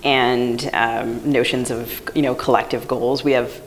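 A woman's voice: very deep voice, fairly even intonation, unhurried, slight background noise, poor audio. A woman podcast co-host asking reflective, inquisitive questions in a live conversation on a festival stage.

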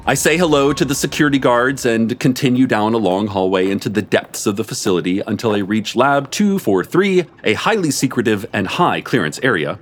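Expressive man reading a story aloud. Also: mid-range voice, fairly quick, hardly any pauses. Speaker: story reader. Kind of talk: live comic story reading. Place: live performance before an audience.

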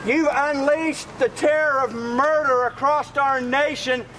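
A man condemning loudly as a street protester, preaching in a declamatory way outdoors with street noise. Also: extremely high pitch, steady tone, a little slow. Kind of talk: accusatory religious street preaching.

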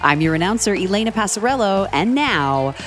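Playful woman announcer with a lively, slightly scratchy voice over faint music. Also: mid-range voice, brisk. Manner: playful, laughs easily. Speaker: radio show announcer. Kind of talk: casual banter and announcing.

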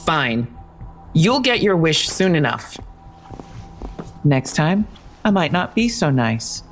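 A cool, intimidating policewoman in an audio drama. Her low voice moves expressively through curt threats, with faint music behind. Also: sing-song, takes it slowly, heavily acted. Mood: cool, menacing.

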